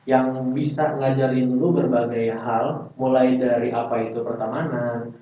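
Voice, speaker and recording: A man's voice: medium pitch, fairly even intonation, unhurried. A young man, chatting and explaining casually as a podcast guest. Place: indoor podcast studio. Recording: very echoey, distant, thin phone-like sound, faint noise.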